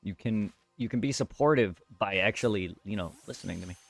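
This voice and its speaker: A man's voice: lowish voice, fairly quick, gravelly, soft background music. A man talking off the cuff to his chat on a livestream, blunt and repetitive, swearing at times. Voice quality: normally very quiet voice. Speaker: live streamer. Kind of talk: unscripted livestream talk to chat.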